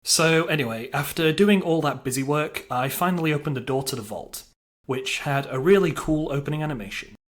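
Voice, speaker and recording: A man's voice: fairly high pitch, a little fast. A man delivering scripted narration for a video game review, with a wry, dryly humorous manner.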